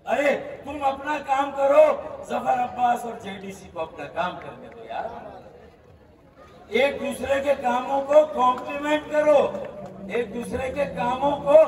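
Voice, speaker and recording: A man's voice: very high-pitched, lively intonation, measured pace. Man giving an impassioned speech outdoors. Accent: Pakistani Urdu. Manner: pleading, rhetorical, emphatic. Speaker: speaker at a public charity event. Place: outdoor night gathering with a crowd. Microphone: handheld microphone, filmed from a distance. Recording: echoey, distant outdoor sound.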